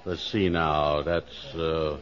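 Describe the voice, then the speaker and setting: An actor playing a flustered, folksy, bluffing man who speaks haltingly in a 1940s radio comedy. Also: rumbling low, flat delivery. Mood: flustered, stalling. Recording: dull, muffled 1940s radio broadcast.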